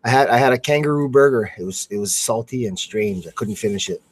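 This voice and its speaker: A man's voice: lowish voice, fast talker, husky. A man from the United States co-hosting a live sports show with informal, slangy, conversational talk. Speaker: radio co-host. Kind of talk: casual live sports chat.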